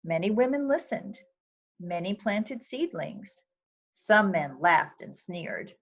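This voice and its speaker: A woman reads a picture book aloud at an unhurried pace. Her voice sits at a medium pitch, and she phrases each sentence carefully and expressively for young listeners. The sound is slightly dull and lo-fi. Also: animated. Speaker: volunteer reader of a children's book.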